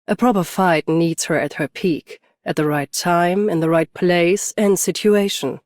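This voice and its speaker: A woman's voice: fairly low pitch, darkish tone, no echo, studio quality, lightly acted. A woman playing a stoic, battle-hardened champion swordswoman and bodyguard in a video game, restrained and commanding. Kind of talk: scripted game character voice lines.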